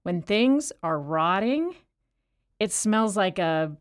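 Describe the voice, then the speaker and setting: A woman's voice: medium pitch, big pitch swings, slow. An actress playing a chatty, rambling woman inmate in an audio drama, telling stories in a wry tone. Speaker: actor in an audio play.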